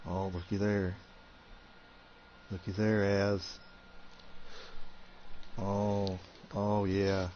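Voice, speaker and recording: Male gaming buddy, somewhat low voice, relaxed and halting, dull voice-chat sound. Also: a little hiss or hum.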